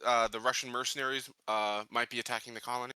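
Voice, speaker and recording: A man playing a tabletop game, improvising courtroom questions with halting, somewhat formal phrasing. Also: medium pitch, little pitch movement, sharp tone, clear, resonant tone, no room sound. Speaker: tabletop player questioning a witness in character.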